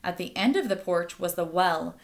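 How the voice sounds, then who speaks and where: Expressive woman reading a picture book aloud. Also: fairly low pitch, vocal fry. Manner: pauses to ask listeners questions. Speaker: read-aloud storyteller.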